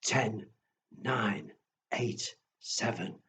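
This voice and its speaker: A man telling stories to pre-school children in a simple, deliberate way, often putting questions to his young listeners. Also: fairly low pitch, bright, forceful tone, slight background noise. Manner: slow, deliberate, addressing small children with questions. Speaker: children's storyteller.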